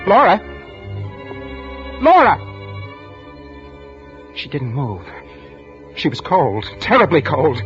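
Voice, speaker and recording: An actor playing a nervous, guilt-ridden husband who narrates his own crime in an intense, halting 1940s radio style. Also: a little high, huge pitch swings, heavily acted. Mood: shaken, distraught. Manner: intense, haunted, halting delivery. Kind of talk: 1940s radio thriller acting, first-person narration. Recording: muffled vintage radio broadcast, music underneath.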